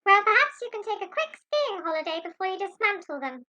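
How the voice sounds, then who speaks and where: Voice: extremely high pitch, big pitch swings, fairly quick, bright, forceful tone, no room sound, lo-fi sound, heavily acted. An actor voicing a pompous, jaded talking mouse in an amateur audio drama. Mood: dismissive. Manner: pompous, exasperated.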